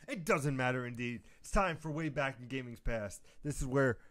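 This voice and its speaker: A man's voice: mid-range voice, normal speed, fairly bright tone. A man hosting a gaming news show, mixing news reading with casual, teasing banter and the odd swear word.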